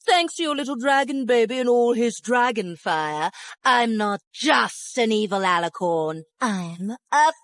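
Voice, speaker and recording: Cartoon villainess: power-hungry female fire alicorn, haughty and gloating, bright forceful tone, very dry close sound. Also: a little high, lively intonation, dry recording. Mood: menacing boasting. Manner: haughty, gloating, drawn-out dramatic delivery. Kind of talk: theatrical cartoon villain acting.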